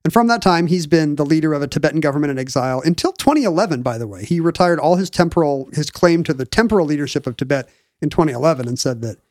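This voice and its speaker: A man's voice: on the high side, lively intonation, fast, a little mellow, no echo. Rambling man in his forties, dry sound. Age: 40s. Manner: rambling, searches for words mid-sentence. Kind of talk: casual conversational explaining.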